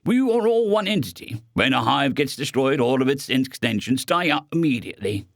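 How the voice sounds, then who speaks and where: A man's voice: mid-range voice, sing-song, moderate pace, vocal fry, no echo, clean studio sound. A man voicing a menacing, incredulous robotic alien exterminator, blunt and threatening, in an audio story. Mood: stern, puzzled.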